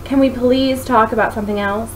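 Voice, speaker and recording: A woman reads as a flustered romance heroine, easily exasperated. Her storybook dialogue is a little raised in pitch, with noise in the recording. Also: steady tone, fairly quick, close to an everyday voice. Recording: noisy home recording.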